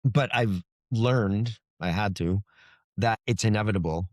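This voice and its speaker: Low-voiced man talking as an interviewed author. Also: measured pace, no room sound, clean studio sound. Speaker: author being interviewed about his book. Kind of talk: conversational interview, explaining his writing.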